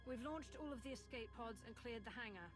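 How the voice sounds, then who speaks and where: An actress playing a composed, thoughtful sci-fi heroine in a video game, with a measured and earnest delivery. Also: female voice, a little high, lightly acted. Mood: focused. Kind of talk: video game cutscene acting. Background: music.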